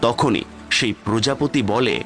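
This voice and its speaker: A man narrating a cartoon fairy tale with steady, plain storytelling. His voice sits at an ordinary pitch, and faint music plays underneath. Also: unhurried.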